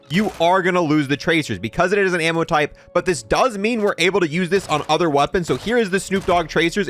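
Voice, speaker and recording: A man with a fairly high voice gives casual gameplay commentary as a video host. His pitch swings up and down a lot, and his delivery is informal and playful. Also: a bit bright.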